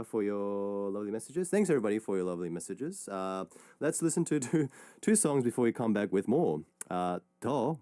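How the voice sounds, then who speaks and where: A man's voice: on the low side, no echo. A man, hosting a radio show and chatting casually between listener messages, rambling with lots of fillers. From Australia. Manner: relaxed, rambling, many fillers and restarts. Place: radio studio. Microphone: studio microphone.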